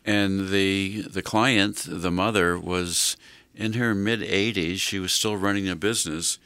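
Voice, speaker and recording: Mature American male interview host, low register, crisp bright tone, deliberate explanatory delivery. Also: steady tone, no echo. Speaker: host and legal adviser. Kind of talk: conversational interview on legal planning.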